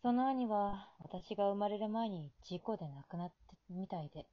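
A woman's voice: medium pitch, lively intonation, moderate pace, lo-fi sound, lightly acted. A woman acting in an amateur radio drama as a weary, self-doubting young woman, speaking in a hesitant, subdued way. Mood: downcast, weary.

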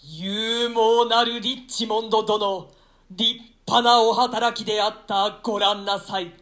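A man's voice: high voice, sharp tone, exaggerated voice. A man playing a dignified, deferential nobleman in a classical play, speaking formally and ceremoniously. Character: dignified, deferential nobleman honouring his victorious lord. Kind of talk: classical stage-play declamation in archaic Japanese.

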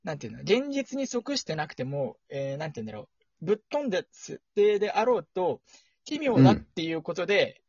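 Young male podcast host, animated intonation, dry close sound, playful deadpan announcer phrasing, casual rambling. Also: on the high side, fairly quick, breathy edge, no echo. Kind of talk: casual two-person podcast chat with mock news segment. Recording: dull lo-fi audio.

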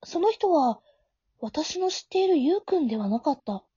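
Woman doing a high mellow schoolgirl voice, flustered but caring, audio drama w/ inner narration, bit muffled lo-fi. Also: big pitch swings, normal speed, dry recording. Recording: dull, lo-fi home recording.

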